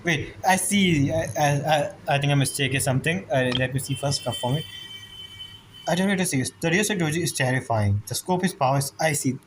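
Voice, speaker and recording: A man giving casual, rambling gameplay commentary as he reacts to a story, speaking informally and haltingly. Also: a little high, slight background noise, poor audio. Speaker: gamer reacting to a story quest. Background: game music.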